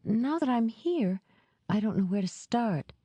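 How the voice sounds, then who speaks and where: A woman's voice: fairly high pitch, expressive, unhurried, mellow, husky, no room sound, lo-fi sound, voice pushed up. A woman voicing a fragile young woman in an audiobook, anxious, polite and faltering as she speaks. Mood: anxious, distressed.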